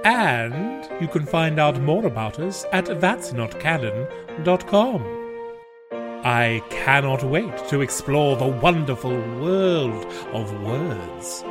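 A man's voice: mid-range voice, big pitch swings, takes it slowly. A man reading scripted podcast announcements and promos. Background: music.

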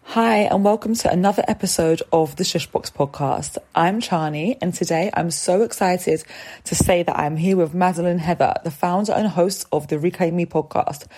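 A woman's voice: lowish voice, normal speed, mellow. A young adult woman hosting a podcast interview, encouraging and affirming her guest. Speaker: podcast host.

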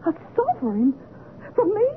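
Tinny noisy old radio, a timid plaintive poor girl, high voice, very swoopy. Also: huge pitch swings, normal speed, exaggerated voice. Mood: surprised, grateful. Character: poor, wary, downtrodden young former servant girl. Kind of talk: old-time radio drama acting.

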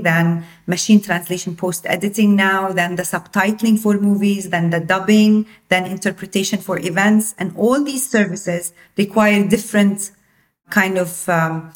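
A woman's voice: lowish voice, poor audio. A woman from Jordan, the guest founder, explaining things in conversation with frequent use of "like". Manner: explanatory, uses filler "like" often. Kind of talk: interview conversation.

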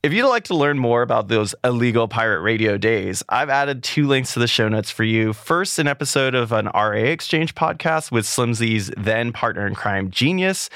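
A man's voice: medium pitch, lively intonation, moderate pace, hardly any pauses, a bit bright, no echo. A man from California hosting a music and culture podcast in a studio, reading his script in an upbeat conversational way and then chatting with a guest. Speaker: podcast host. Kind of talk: scripted podcast narration and interview.

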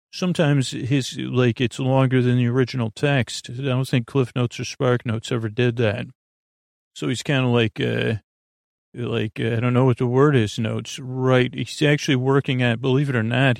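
A man's voice: mid-range voice, gravelly, darkish tone, no echo, studio quality. A man hosting a sleep podcast, rambling through a meandering monologue full of restarts and tangents. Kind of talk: rambling late-night monologue.

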